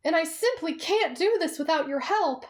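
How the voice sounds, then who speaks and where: A woman voicing a gentle, tactful young companion in an anime fan roleplay, polite and coaxing. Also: very high voice, animated, moderate pace.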